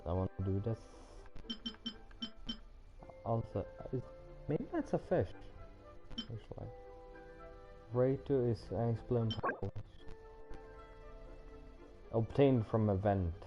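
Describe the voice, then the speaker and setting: A man doing casual, rambling livestream game commentary, thinking out loud to himself. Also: lowish voice, expressive, slow pace, pauses often, dull audio. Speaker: game streamer. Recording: loud game music behind voice. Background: video game music.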